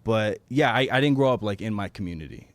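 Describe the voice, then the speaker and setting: A man with a fairly low voice speaks as a guest in a relaxed interview. He talks in a halting, conversational way, doubling back to rephrase his thoughts. The sound is dry, with hardly any room on it. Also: dry recording. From Florida, United States. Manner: halting, self-correcting, restarts thoughts often. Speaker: guest, stand-up comedian.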